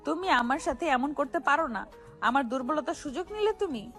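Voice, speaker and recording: A woman acts out a feisty young wife with a very high voice. She is dramatic, slangy and quick to scold, in the manner of an audio love story. Faint music plays behind her. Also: expressive, a bit bright. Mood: accusing. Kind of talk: audio romance story acting.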